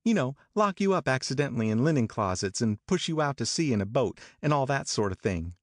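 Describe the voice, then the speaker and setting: This is a languid, teasing young woman with a lilting, breathy drawl, voiced by a man reading aloud. Her voice sits high above the narrator's own. The sound is dry and close. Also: very animated, fairly quick, no room sound.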